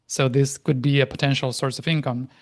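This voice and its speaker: A man in his thirties from Argentina, a native Spanish speaker, talking reflectively as an interview guest with frequent restarts and pauses. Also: medium pitch, level tone. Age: 30s. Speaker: interview guest, life and language coach. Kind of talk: casual interview conversation.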